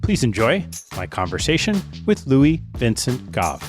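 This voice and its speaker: A man's voice: measured pace, over loud music. A man hosting a podcast, reading a scripted intro and asking interview questions in an upbeat way. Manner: lively, upbeat delivery with big pitch swings. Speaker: podcast host.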